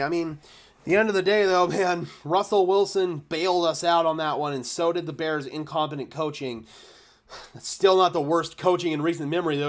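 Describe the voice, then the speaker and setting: A young man, slightly hoarse, hosting a live stream about his football team, chatting casually and thanking donors with bursts of excitement. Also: on the high side. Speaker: fan channel host. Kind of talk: live stream chat reacting to donations.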